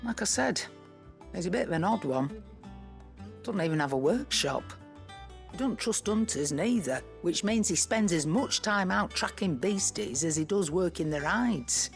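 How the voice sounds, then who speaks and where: An actress with a Northern English accent playing a blunt, wry market trader in a fantasy video game. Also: female voice, lowish voice, animated, a little slow, vocal fry, soft background music. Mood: matter-of-fact. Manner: blunt, wry, plain-spoken. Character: dry, no-nonsense female market trader in fantasy.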